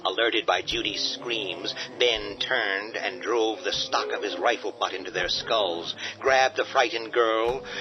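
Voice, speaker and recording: A man narrating a horror radio drama in a steady, dramatic storytelling manner. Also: fairly low pitch. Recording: dull lo-fi with music beneath.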